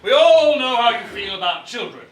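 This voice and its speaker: An actor playing a good-natured, modest husband on stage, speaking in a placating theatrical manner. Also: male voice, extremely high pitch, expressive, normal speed, a bit bright. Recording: echoey distant stage recording, faint noise.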